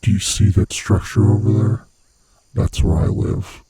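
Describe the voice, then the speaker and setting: A man voicing a sinister, gloating monster who hunts human prey, with a husky, rasping voice and a slow, taunting manner, in a horror ASMR roleplay. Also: level tone, warm tone, no echo, full character voice. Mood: smug, possessive. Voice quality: husky, breathy, rasping low voice. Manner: slow, menacing, theatrically taunting.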